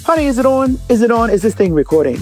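A woman's voice: on the high side, sing-song, fast talker, a little mellow, faint music behind. A podcast host delivering a sassy, showy promo for her show.

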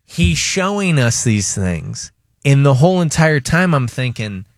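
A man hosting a radio show, telling a story in casual, animated banter with co-hosts and callers. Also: mid-range voice, no echo, clean studio sound. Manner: animated storyteller, quick asides.

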